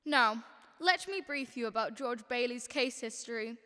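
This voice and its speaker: Commanding, exasperated chief angel, played by a young woman: high, bright, emphatic, long heavenly reverb. Radio drama. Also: lively intonation, normal speed. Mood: instructive. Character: authoritative, exasperated superintendent of angels briefing a trainee. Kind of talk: stage-like radio drama acting. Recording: long hall-like reverb effect.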